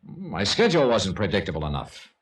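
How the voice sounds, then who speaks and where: A man's voice: deep voice, wildly swooping pitch, fairly quick. A man playing a hard-boiled American insurance investigator in an old radio drama, clipped, dry and skeptical. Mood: skeptical, probing. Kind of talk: mid-century radio detective acting and narration.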